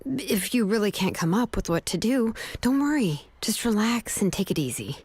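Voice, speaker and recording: A woman voicing a laid-back, caring adult woman smith who speaks in a gentle, reassuring way. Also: medium pitch, very animated, moderate pace, breathy edge. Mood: easygoing. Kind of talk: video game voice acting.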